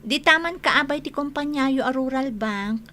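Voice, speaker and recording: A woman's voice: high voice, moderate pace, bright, forceful tone. An actress playing a sociable, slightly tentative young working woman in a radio drama, with an Ilocano accent. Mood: friendly, tentative. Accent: Northern Philippine Ilocano. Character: friendly young bank worker, acquaintance named Ashley.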